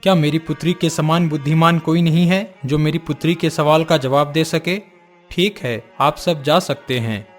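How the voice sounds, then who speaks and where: A man's voice: fairly high pitch, steady tone, normal speed, soft background music, close to an everyday voice. A man voicing a dignified middle-aged king and father in a cartoon story, speaking in a formal, courtly way. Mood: disappointed.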